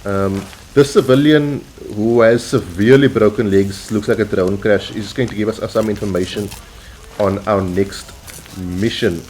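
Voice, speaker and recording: A South African man hosting his gaming channel, calmly explaining tactics over gameplay with frequent pauses. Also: on the low side, slight background noise, low-quality recording. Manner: measured, instructional, with frequent "um" and "uh" pauses. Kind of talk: gameplay commentary.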